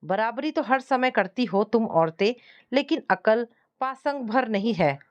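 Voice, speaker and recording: A woman's voice: fairly high pitch, lively intonation, unhurried. A woman voicing an irritable, suspicious, controlling husband in a narrated domestic story, scolding and dismissive. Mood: irritated, scolding.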